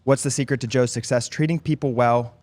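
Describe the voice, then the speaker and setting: A man hosting a podcast interview, reading a short intro and then asking brief, relaxed questions. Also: medium pitch, fairly quick. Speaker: podcast host.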